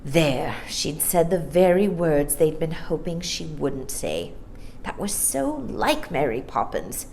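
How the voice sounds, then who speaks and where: A woman with a fairly low voice narrates a children's storybook. Her delivery is theatrical and very animated in pitch. There is some faint noise behind her. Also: slow. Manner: theatrical, acts out dialogue. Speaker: storytime reader.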